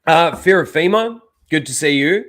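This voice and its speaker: Brief: young guy, streamer, stuffy nose, rambling chat, a touch higher than most men. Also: expressive, normal speed, a bit bright. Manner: rambling, filler-heavy, reads out chat names. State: blocked nose. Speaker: live stream host. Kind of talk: casual rambling livestream chat.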